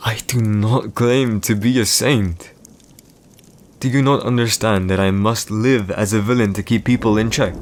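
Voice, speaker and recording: A man's voice: on the low side, steady tone, measured pace, close to an everyday voice. A man playing a haughty, teasing villain emperor in an ASMR audio roleplay, with formal, condescending, old-fashioned diction. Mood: earnest, solemn.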